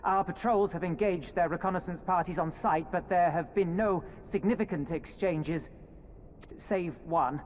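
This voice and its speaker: Game officer male actor: higher-register, stern, proud, clipped military formality. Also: normal speed, slight background noise, lightly acted. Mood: formal, grave. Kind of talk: dramatic video game cutscene acting.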